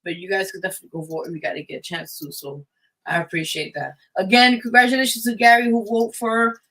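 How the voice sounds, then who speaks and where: A woman with a bright, forward voice hosts a live radio show. She delivers announcements in a casual, informal way, speaking straight to her listeners. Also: medium pitch, steady tone, fast talker, poor audio. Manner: informal, addressing listeners as guys.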